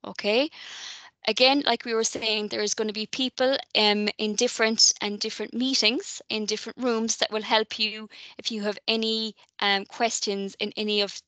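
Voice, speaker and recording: A woman's voice: mid-range voice, flat delivery, normal speed, fairly bright tone, no echo, rough recording. A woman giving a friendly, chatty presentation to prospective university students, with many ums and "okay?" check-ins.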